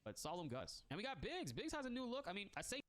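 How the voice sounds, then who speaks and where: A man hosting a casual reaction stream, congested from a cold, excitable and slangy, laughing often. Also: high-pitched, fast talker. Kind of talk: casual reaction chat, reading text aloud.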